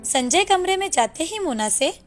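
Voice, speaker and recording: A woman's voice: extremely high pitch, sing-song, normal speed, soft background music. A woman reading scripted narration for a moral story.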